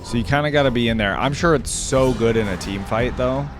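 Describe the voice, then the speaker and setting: A man's voice: mid-range voice, a little fast, over music. A man giving casual reaction commentary as the host of a gaming video.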